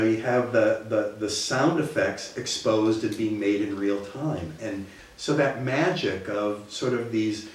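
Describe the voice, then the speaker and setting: A man from Minneapolis who runs a theater, talking thoughtfully and pausing to find his words. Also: lowish voice. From Minneapolis, USA. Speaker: theater owner. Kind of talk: reflective interview talk. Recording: echoey room, distant mic.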